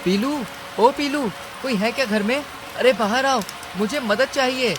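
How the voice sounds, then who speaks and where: A man's voice: very high voice, a little slow. A man voicing a worried, polite male mouse in a cartoon story, earnest and pleading. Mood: alarmed, urgent. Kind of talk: Hindi cartoon story dialogue. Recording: clear rain and ambience noise.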